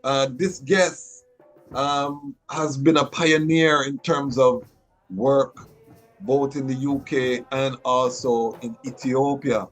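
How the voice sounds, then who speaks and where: A man's voice: mid-range voice, fairly even intonation, takes it slowly, soft background music, rough recording. Man hosting with emphatic rhythm. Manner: rhythmic, emphatic delivery with frequent restarts. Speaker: podcast host. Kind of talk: podcast interview.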